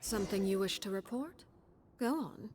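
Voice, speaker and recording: A woman's voice: mid-range voice, lively intonation, normal speed, natural voice. A woman playing a poised figure of authority in a fantasy game. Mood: attentive. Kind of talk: dramatic game voice acting. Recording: music behind the voice.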